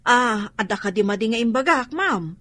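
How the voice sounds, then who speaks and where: An actress playing a chatty household maid with a gossipy, playful manner and an Ilocano accent. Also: female voice, fairly high pitch, animated, moderate pace, sharp tone.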